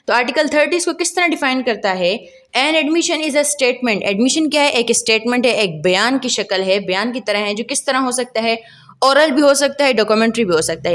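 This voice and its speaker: A woman with a Pakistani accent teaches law in a somewhat high voice. She explains didactically, switching between her own language and English. She often asks a question and then answers it herself. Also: a bit bright. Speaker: law lecturer. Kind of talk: explanatory lecture mixing Urdu and English.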